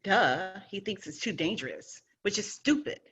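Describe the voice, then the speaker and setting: A woman's voice: mid-range voice, lively intonation, normal speed, fairly bright tone, husky. A woman playing a feisty, stubborn young scout with a defiant, sassy manner. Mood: irritated.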